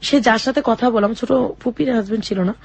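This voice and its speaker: A young woman telling her own life story in an interview, speaking haltingly with many fillers and restarts. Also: on the high side, gravelly, husky. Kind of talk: personal testimony in a radio interview.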